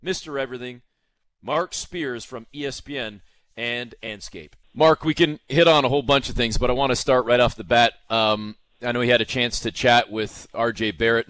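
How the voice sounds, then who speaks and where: A man's voice: medium pitch, a bit bright, no room sound. A man with a Canadian accent hosting a sports interview in a casual, chatty way, wandering into colloquial asides. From Toronto area, Canada. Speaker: sports podcast host.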